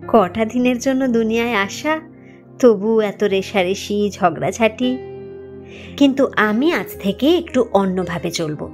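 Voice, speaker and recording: A woman's voice: medium pitch, animated, a little slow, faint music behind. Woman acting a spirited, newly defiant young wife. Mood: cheerful, reflective. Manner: bold, mocking.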